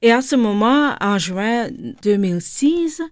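A woman with a Flemish accent, a native Dutch speaker, talking in a radio interview, hesitant and searching for her words with many pauses and ums. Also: a little high, expressive, dry recording, studio quality. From India, raised in Flanders. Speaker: guest, charity director.

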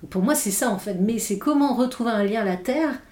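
Hesitant, thoughtful woman with mellow voice. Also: a little high, lively intonation, fairly quick. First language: English. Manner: thoughtful, many hesitations and restarts. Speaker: philosopher guest. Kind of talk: reflective interview talk. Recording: roomy echo.

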